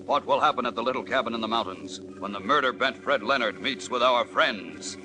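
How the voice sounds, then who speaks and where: A man's voice: mid-range voice, brisk, over loud music, low-quality recording. A man narrating an old radio serial as announcer, suspenseful and emphatic, on a vintage broadcast recording.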